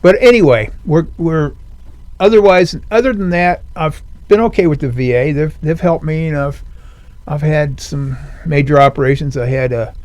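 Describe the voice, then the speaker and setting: An older man speaking as an interview guest, telling his own story in a plainspoken, rambling way full of fillers, his voice high and strained since surgery. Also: moderate pace, darkish tone, no echo. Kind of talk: conversational personal story. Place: veteran center studio.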